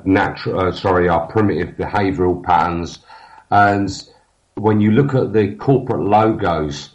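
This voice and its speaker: A man, talking casually in a halting way with many fillers and restarts. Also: sits low, darkish tone. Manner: halting, many fillers and restarts, loses his train of thought. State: has a cold. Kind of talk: casual podcast conversation. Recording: dull lo-fi sound.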